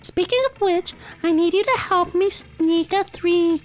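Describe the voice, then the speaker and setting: A young mermaid princess with an extremely high, girlish voice. She is posh, prim and sweetly ruthless, drawing her words out slowly and grandly like video game royalty. Soft music plays underneath. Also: steady tone. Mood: regal, scheming. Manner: prim, grandiose, drawn-out delivery. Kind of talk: video game character voicing.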